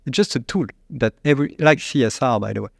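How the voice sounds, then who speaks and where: A man with a French accent, a company CEO, answering interview questions in a halting, searching way with frequent restarts. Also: mid-range voice, vocal fry, no room sound, studio quality. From France. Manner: halting, restarts often, searches for English words.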